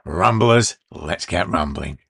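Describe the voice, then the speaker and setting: A man hosting a podcast, introducing the episode and his guest in a relaxed, chatty way. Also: deep voice, slow, vocal fry, breathy edge, no room sound, studio quality. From Britain. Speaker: podcast host. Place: room.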